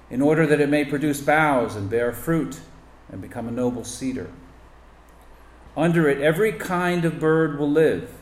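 A man reading a scripture passage aloud at a steady, measured pace. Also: medium pitch, echoey room. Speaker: scripture reader.

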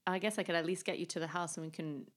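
A woman in her thirties with a raspy voice, hosting a casual chat at home and talking straight to her viewers in a giggly way. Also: lowish voice, rapid-fire. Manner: giggly, warm, talks to viewers directly. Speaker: host. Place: home in Los Angeles, indoors.

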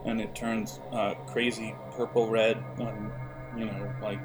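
A man's voice: on the low side, little pitch movement, measured pace, over music. A man narrating a role-playing game as game master, speaking conversationally and often searching for words.

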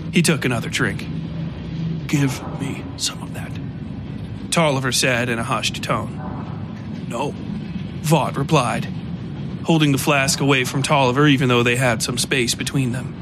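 A man narrating a dark fiction story in a slow, low, brooding voice. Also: fairly even intonation, very noisy. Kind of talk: scripted horror fiction narration. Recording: music bed underneath.

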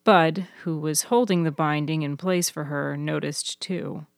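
A woman's voice: sits low, fairly even intonation, unhurried, dry recording, clean studio sound. A woman reading scripted fiction as the narrator of an audio story. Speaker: author and narrator.

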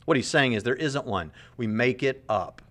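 A man's voice: mid-range voice, animated, normal speed, fairly bright tone. Earnest man in his thirties commenting. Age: 30s. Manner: earnest, conversational, repeats words. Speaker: commentator reacting to a podcast clip. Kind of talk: apologetics commentary.

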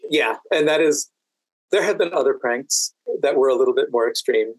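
An American man in a relaxed interview conversation, recounting anecdotes with deliberate pauses and dry amusement. His voice sits at a middling pitch, and the sound is close and dry with no room around it. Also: no echo, low-quality recording. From Washington DC, United States. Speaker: podcast guest.

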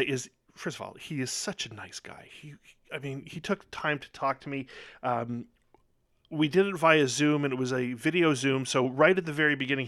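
Chatty male podcast host. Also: mid-range voice. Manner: chatty, rambling, trails off softly. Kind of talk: casual podcast chat. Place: home setup in Northern Virginia.

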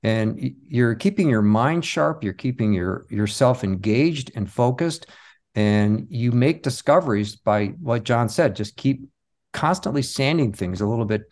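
A man speaking as an interview guest, reflective and halting as he tells stories of his work in conversation. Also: mid-range voice, animated, a little mellow. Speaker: interview guest, comic magician and stage show creator.

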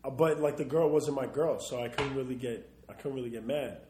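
A young man co-hosting a laid-back podcast, trading jokes and loose banter. His voice sits at a middle pitch and carries a roomy echo. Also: brisk, background noise. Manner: joking, playful, swears and laughs. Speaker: podcast co-host. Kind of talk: casual banter. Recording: echoey room.

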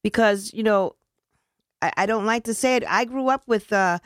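A woman who organizes community events, speaking in a loose, earnest, rambling way. She restarts her sentences often and slips into casual slang. Her voice sits at an ordinary pitch and is recorded dry and close, with no room sound. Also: normal speed, no echo. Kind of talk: casual interview talk.

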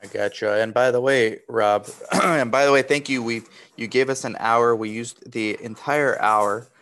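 A man's voice: mid-range voice, unhurried, a bit bright. A man from Romania hosting a webinar, asking questions in a casual, friendly way. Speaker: webinar host. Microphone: video call.

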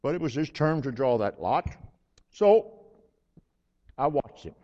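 A man's voice: medium pitch, animated, measured pace, warm tone. A man playing a hearty old priest host. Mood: warm, chatty. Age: older. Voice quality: chuckling, hearty and hospitable. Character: jovial elderly male priest hosting a friend. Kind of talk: dramatic monologue. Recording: reverberant hall, slightly dull sound.

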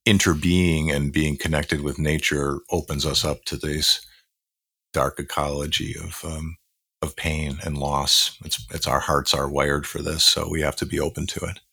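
A man with a very deep voice and a bright, forceful edge talks through a reflective podcast conversation. He is earnest and measured, often restarting phrases. The sound is dry and close. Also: little pitch movement, gravelly, husky, dry recording. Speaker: podcast co-host.

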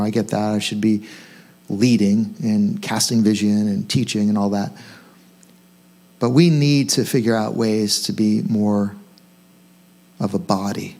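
A man's voice: fairly low pitch, a little mellow. A man speaking as a senior pastor, giving an informal sermon in a conversational way, questioning himself and stressing key points. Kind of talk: informal sermon to own congregation.

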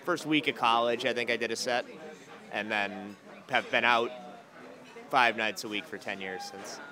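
A man's voice: mid-range voice, fairly even intonation, moderate pace. A man in his late twenties from Massachusetts, a stand-up comedian, chatting casually over breakfast with dry, joking asides. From Massachusetts, USA. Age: 20s. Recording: noisy crowded diner. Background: crowd chatter.

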